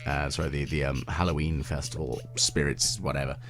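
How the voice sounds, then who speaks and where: A British man with a deep voice giving relaxed, meandering gameplay commentary with gentle, wry asides. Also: a little fast. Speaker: let's play video host.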